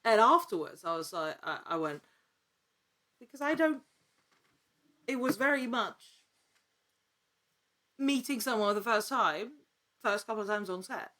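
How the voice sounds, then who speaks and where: A woman speaking as a podcast guest in a casual interview, telling anecdotes in an animated, conversational way and acting out little quotes. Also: mid-range voice, measured pace, long pauses. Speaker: podcast guest, actor and producer in actual play.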